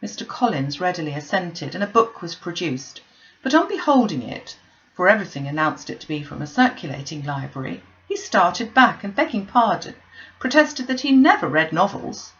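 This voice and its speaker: A woman reading a classic novel aloud, with an expressive, animated delivery. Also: on the low side, moderate pace, muffled recording. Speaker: audiobook reader.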